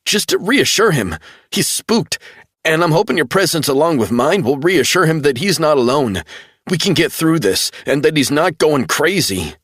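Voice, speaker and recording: A man's voice: sing-song, moderate pace, a bit bright, breathy edge, no echo, heavily acted. A grief-stricken young man, sobbing and pleading, his voice strained and raised far above normal. A man acts him out in a narrated story. Dry, close sound. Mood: distraught pleading. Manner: sobbing, pleading, high-strung. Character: distraught young man, grieving hacker.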